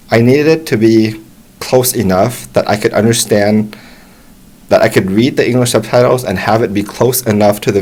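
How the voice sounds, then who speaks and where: A young American man giving relaxed, encouraging advice to English learners, talking casually with frequent restarts. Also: on the low side. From United States. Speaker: English tutor. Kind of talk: casual talk to camera.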